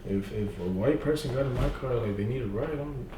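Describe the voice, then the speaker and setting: A man's voice: fairly quick, recorded from a distance, a crowd in the background. A young man on a podcast, chatting casually in slang and acting out quotes with big jumps in his voice. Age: young adult. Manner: slangy, full of "you feel me", acts out quotes with big pitch jumps. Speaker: podcast guest. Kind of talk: casual chat. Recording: roomy, off-mic with faint noise.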